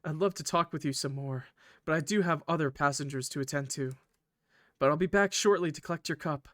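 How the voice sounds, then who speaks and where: A courteous young train conductor, acted out by a man reading a visual novel, soft-spoken and solicitous with something creepy underneath. The voice is somewhat high and clearly bright, recorded close and dry. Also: brisk, no echo, lightly acted. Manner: polite and solicitous.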